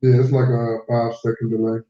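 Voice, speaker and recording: A man's voice: medium pitch, flat delivery, brisk. A man co-hosting a livestream, talking casually and helpfully. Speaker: livestream co-host. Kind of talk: casual chat. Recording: roomy, distant, lo-fi call audio.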